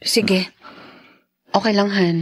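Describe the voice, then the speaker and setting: A woman's voice: mid-range voice, fairly even intonation, slow, husky. An actress playing a meek, polite young Filipino mother in a radio drama, hesitant and deferential. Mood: reluctant, resigned.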